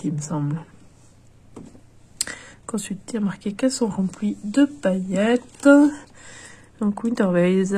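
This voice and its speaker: A woman's voice: medium pitch, dark tone, a little hiss or hum. A young woman with a cold, chatting hesitantly through a doll unboxing with many fillers and restarts. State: has a cold, nasal and stuffy. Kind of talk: casual unboxing commentary.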